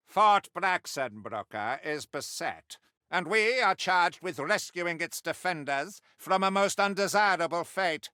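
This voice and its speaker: A man's voice: on the high side, bright, forceful tone, smooth, no echo, studio quality. A male actor playing a zealous, haughty middle-aged witch hunter in a video game, declaiming his briefings in pompous, archaic style. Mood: pompous, self-important. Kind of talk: theatrical scripted video game mission briefings.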